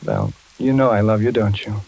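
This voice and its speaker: A man's voice: fairly low pitch, wildly swooping pitch, moderate pace, gravelly, a little mellow, breathy edge, exaggerated voice. An American man playing a determined, suspicious but tender engineer in danger, in the style of 1940s radio suspense. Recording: noisy old radio recording with crackle.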